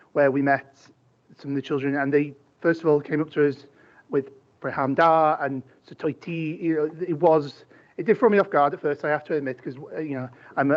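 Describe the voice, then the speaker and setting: A man, a councillor answering a question at a committee meeting, hesitant with many restarts. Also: fairly high pitch. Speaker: cabinet member, councillor. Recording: dull lo-fi meeting audio.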